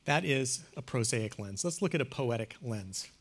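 A man speaks to a class with earnest emphasis. His voice sits at an ordinary middle pitch and swings between hushed and loud as he presses his points. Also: expressive, normal speed. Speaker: lecturer. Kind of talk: classroom lecture on theology and poetry.

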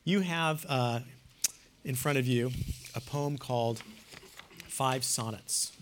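Man Lecturer, mid-pitched voice, earnest, emphatic, dips hushed then rises loud. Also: slow, a little hiss or hum. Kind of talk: classroom lecture on theology and poetry.

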